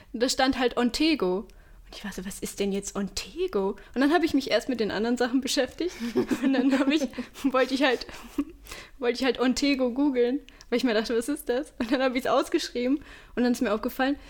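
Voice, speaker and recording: Young female podcast co-host, giggly, slangy, a bit high-pitched. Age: 20s. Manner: giggly, mixes English slang. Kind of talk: casual chat.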